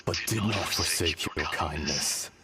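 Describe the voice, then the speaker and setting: An actor playing an ancient, weary male earth dragon in a game cutscene, speaking slowly and solemnly. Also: extremely low pitch, very noisy. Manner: slow, solemn and grave. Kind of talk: video game cutscene dialogue. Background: orchestral game music.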